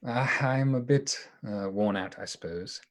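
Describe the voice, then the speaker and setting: A man acting in a radio play as an earnest, anxious doctor devoted to the woman he loves, with a halting, emotionally open delivery. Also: lowish voice, lightly acted. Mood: tired.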